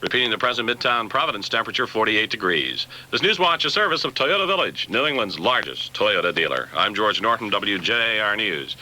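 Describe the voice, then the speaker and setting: A male newsreader delivers a scripted radio bulletin in a low voice with a sharp, intensely bright edge. He sounds like a local AM station in the 1970s, captured on a cassette aircheck. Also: expressive, fairly quick, few pauses, rough recording. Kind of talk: scripted local news, sports and weather bulletin. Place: radio studio.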